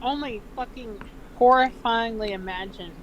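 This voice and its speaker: A noisy home recording of a woman with a high voice. She chats casually as a co-reader of fan fiction, swearing freely and sounding exasperated. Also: normal speed, lo-fi sound. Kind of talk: casual joking chat and story narration. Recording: clearly noisy home recording, unbalanced levels.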